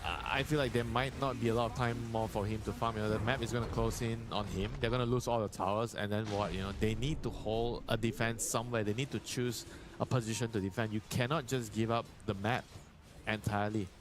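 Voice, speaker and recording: A man's voice: on the low side, fairly even intonation, fast talker, noisy background. A man giving conversational, opinionated analysis as co-commentator on an esports match, explaining things plainly over music.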